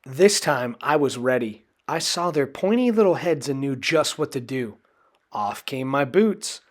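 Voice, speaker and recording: A man reading a children's storybook aloud in an expressive, dramatic way. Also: medium pitch, a little slow. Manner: expressive, dramatic delivery with acted character voices. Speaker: storybook reader.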